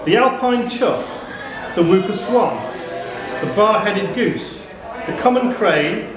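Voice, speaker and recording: A man's voice: sits high, lively intonation, slow. A man working as quiz master, reading out questions at a live pub quiz. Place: indoor pub quiz venue. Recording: echoey crowded pub room. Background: clear crowd chatter.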